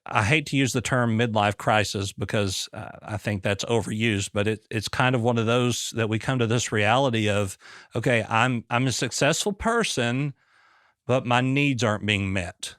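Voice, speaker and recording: A man at a middle pitch speaks calmly and directly, pressing his guest with pointed questions. The sound is dry and close, with no room around it. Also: moderate pace, dry recording. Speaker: podcast host, therapist-style interviewer. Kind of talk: probing conversational interview.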